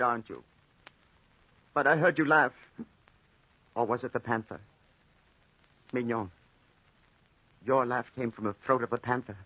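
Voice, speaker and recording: An actor playing an obsessed, lovelorn soldier in a 1940s radio drama, with a brooding, melodramatic delivery. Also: male voice, medium pitch, bright, forceful tone. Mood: grieving, unhinged. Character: obsessed, lovelorn soldier talking tenderly to a panther. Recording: dull, muffled 1940s radio recording.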